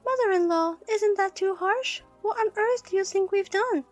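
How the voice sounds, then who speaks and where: Woman doing cutesy fake-innocent young wife for a story, super high syrupy voice, faint music behind. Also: moderate pace. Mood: feigned hurt. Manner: cutesy, cloying, wheedling. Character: sickly sweet, frivolous young daughter-in-law, feigning innocence. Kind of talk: audio story character acting.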